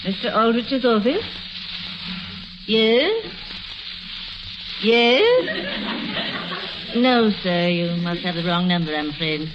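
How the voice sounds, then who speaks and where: Prim, patient secretary played by an actress, noisy recording. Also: female voice, mid-range voice, slow, long pauses, natural voice. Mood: businesslike. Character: polite, patient, matter-of-fact female office secretary. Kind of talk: 1940s radio comedy acting. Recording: noisy, muffled old radio recording.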